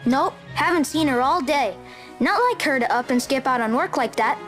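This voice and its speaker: High-pitched, eager young child. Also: female voice, animated, normal speed, a bit bright, faint music behind. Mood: excited. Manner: eager, informal. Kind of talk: video game drama acting.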